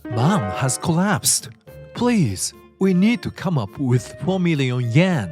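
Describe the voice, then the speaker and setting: A man's voice: fairly high pitch, very animated, slow. A man voicing a childish, selfish, demanding husband in a dubbed story drama, whiny, belittling and blustering. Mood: panicked. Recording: background music under the voice.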